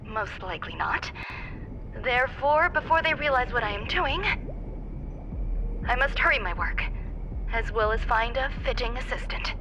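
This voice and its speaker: High-voiced composed genius scientist. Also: female voice, animated, normal speed, pauses often, background noise. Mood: calculating. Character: coolly logical, ambitious young female genius scientist. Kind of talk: scripted game monologue. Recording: loud game music underneath. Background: game music.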